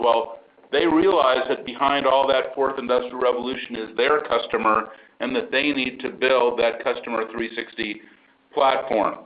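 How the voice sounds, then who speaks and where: Man, executive, earnings call, enthusiastic, filler words, mid-pitched voice, dull call audio. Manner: upbeat, enthusiastic, with frequent fillers. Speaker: company chief executive. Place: remote call line from abroad.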